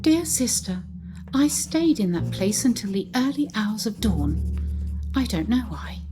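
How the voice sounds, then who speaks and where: A woman's voice: a little high, sing-song, a little slow. A woman playing a lost, bewildered young lady writing home in an audio drama. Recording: music under the voice.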